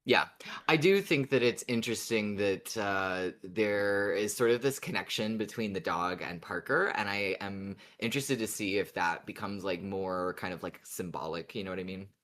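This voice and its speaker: A woman's voice: very deep voice, moderate pace, a bit bright. A woman co-hosting a podcast in casual, informal chat, agreeing and thinking aloud. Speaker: podcast co-host.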